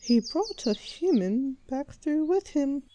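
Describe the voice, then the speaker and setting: A woman playing a shrewd, persuasive elf queen, formal and quietly assured, in a fantasy audio roleplay. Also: high-pitched, expressive, slow pace, slight background noise. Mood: composed.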